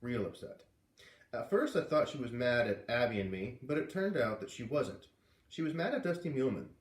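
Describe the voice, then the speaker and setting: Man reading a children's book aloud. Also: fairly quick. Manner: lively, voices characters with big pitch jumps. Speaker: reader reading aloud to kids. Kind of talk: children's book read-aloud with character voices. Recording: roomy, distant from the mic.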